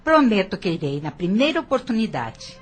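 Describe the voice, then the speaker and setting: A woman playing a sociable middle-aged aunt in an audio drama, with a husky voice. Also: medium pitch, very animated, normal speed, loud music behind the voice, close to an everyday voice. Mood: cordial.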